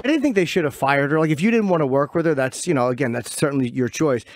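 A man's voice: a little high, animated, quick pace, background noise. A man in his forties, a radio host speaking casually into a studio mic. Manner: casual, conversational. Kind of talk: radio interview chat.

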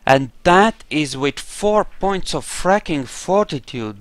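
Slow, smooth male gamer, close and dry. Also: fairly high pitch, expressive, no room sound. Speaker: gamer doing a playthrough. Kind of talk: casual gameplay commentary.

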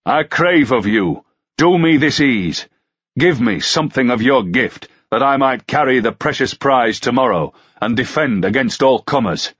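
A man voicing a fervent young knight who shouts loud challenges. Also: mid-range voice, expressive, measured pace, husky, no echo, lo-fi sound, pitched above the performer's own voice. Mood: pleading.

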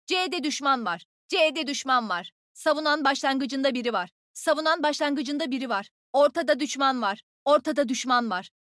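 A confident young woman fighter from a shooter game. Her voice is high and swings in lively leaps, teasing and full of energy. The sound is dry and close, with no room around it. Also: dry recording. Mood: brisk, urgent. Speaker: video game voice actor. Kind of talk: game character voice lines, short combat callouts.

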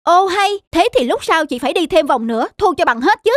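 A woman voicing a haughty, irritable young female neighbour in a cartoon, sharp and complaining. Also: very high-pitched, expressive, brisk, no room sound, clean studio sound. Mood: annoyed. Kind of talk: cartoon moral-drama dubbing.

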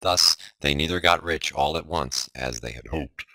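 A man narrates a storybook through a voice changer. The processed sound is extraordinarily deep, with a hard, forceful edge and no room around it. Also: normal speed, no room sound. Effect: voice changer, pitched-down robot filter.

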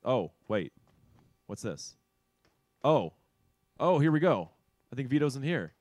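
A man's voice: medium pitch, very animated, measured pace, darkish tone, no echo, studio quality. A man co-hosting a live show, chatting casually as he plays a video game. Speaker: co-host playing the video game. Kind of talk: casual live gameplay chat.

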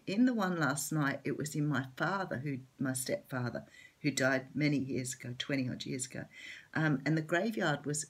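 A middle-aged Australian woman with a hoarse, croaky voice, chatting casually as she reads tarot cards at home. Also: low voice. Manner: chatty, open, laughs lightly. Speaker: tarot reader. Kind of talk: casual tarot reading to camera. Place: home room at a table.

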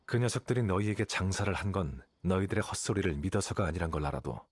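A man voicing a cool, blunt, calculating young scholar in a video game, with a detached, measured, condescending manner. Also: deep voice, expressive, slightly rough, no echo, studio quality, full character voice. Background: game music.

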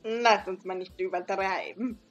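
A woman's voice: mid-range voice, steady tone, a little slow, lo-fi sound, faint music behind. A young woman hosting a let's play, reading visual novel dialogue aloud with playful, giggly asides. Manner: giggly, reads lines playfully.

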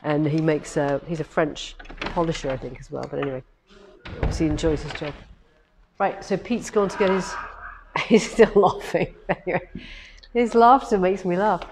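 A woman with a head cold, slightly hoarse, hosting a rambling, cheerful live-stream chat from a large quilting showroom. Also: deep voice, fairly even intonation, moderate pace, darkish tone, slight background noise. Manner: chatty and cheerful, rambling with many ums. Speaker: quilting shop owner hosting a live demo. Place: large quilting showroom with longarm machines, indoors. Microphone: camera on a tripod a few metres away.

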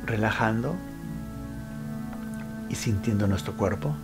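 A man leading a guided meditation, giving instructions in short phrases with frequent pauses. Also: on the low side. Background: background music.

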